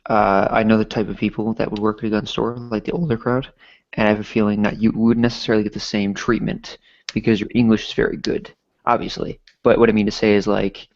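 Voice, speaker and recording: Newfoundland guy, low-pitched rambler, lo-fi call audio. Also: creaky, darkish tone. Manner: rambling, many filler likes. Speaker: co-host. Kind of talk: casual podcast chat.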